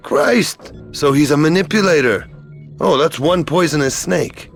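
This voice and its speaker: An actor playing a defiant, sardonic adventurer in a video game cutscene, with a wry, mocking way of talking. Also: male voice, fairly high pitch, animated, measured pace. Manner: sarcastic, wry. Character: defiant, sardonic male adventurer, quick with mockery. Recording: clear music behind the voice.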